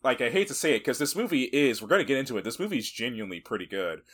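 A man's voice: medium pitch, big pitch swings, very fast, fairly bright tone, breathy edge. A man co-hosting a podcast, chatting casually about a film, rambling a little, laughing often and swearing for emphasis. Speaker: podcast co-host. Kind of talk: casual movie review chat.

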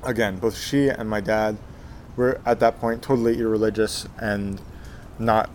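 Young man in his twenties, lowish voice, halting delivery full of ums, faint background hiss. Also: steady tone. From Texas, USA. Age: 20s. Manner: hesitant, many fillers and restarts. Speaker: guest, Zen monk. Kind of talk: casual life-story interview.

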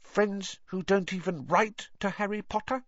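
A squeaky, strained little elf, pitched very high and swooping. He is anxious, devoted and servile, pleading earnestly like a storybook creature. The sound is dry and a little dull. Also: fairly even intonation, a little slow, a bit bright, no room sound. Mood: sly. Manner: pleading, servile, refers to self in third person.

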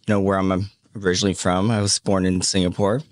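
A man in his thirties who grew up in Hong Kong, a podcast guest telling his life story in a casual, halting way, full of "um" and "you know". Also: low voice, flat delivery, no echo. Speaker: podcast guest, media entrepreneur.

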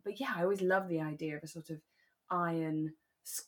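Low-pitched woman, chatty and reflective. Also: fairly even intonation, a little fast. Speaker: podcast co-host. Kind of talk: casual discussion of a radio drama.

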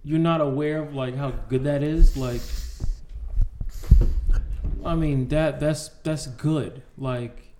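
A man's voice: a little high, fairly even intonation, mellow, a little hiss or hum. A man from Atlanta in casual, tipsy podcast chat with friends, often stumbling and repeating words as he talks. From Atlanta, Georgia. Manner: halting, repeats words and restarts. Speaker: podcast co-host.

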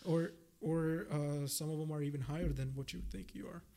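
The slightly high-voiced, talkative young guy from India, Gujarati his mother tongue, rephrasing his thoughts across the table on a student research podcast. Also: brisk, gravelly, mellow. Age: young adult. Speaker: podcast guest, PhD researcher. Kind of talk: casual interview chat.

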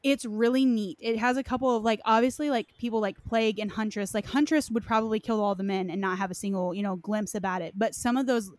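A woman's voice: medium pitch, steady tone, quick pace, few pauses. A woman in casual, informal conversation, speaking loosely and conversationally as she shares her opinions. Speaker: podcast co-discussant on game lore. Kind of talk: casual chat.

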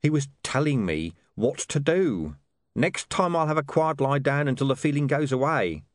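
Storybook street huckster, played by a male narrator, middle-aged, shifty, matey, self-excusing, animated pitch, close dry sound. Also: moderate pace, no echo, lightly acted. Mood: mournful, aggrieved.

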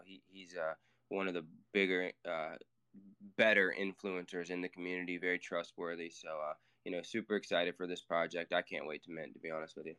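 A man's voice: low-pitched, sharp tone, clear, resonant tone. A man co-hosting a casual online audio chat, enthusiastic and asking long, rambling questions. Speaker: co-host.

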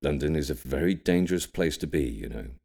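A man voicing a cryptic old grandfather in an audiobook, gravely and carefully reminiscing about his wartime childhood. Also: very deep voice, expressive, darkish tone, exaggerated voice. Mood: guarded concern. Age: older. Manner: careful, measured, ominous storytelling. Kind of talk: audiobook character storytelling.

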